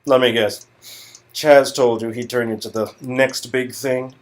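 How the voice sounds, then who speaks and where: A man's voice: medium pitch, steady tone, a little slow, natural voice. A man playing an arrogant, impatient talent agency boss in a comic dialogue reading, brusque and sneering. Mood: scornful, impatient.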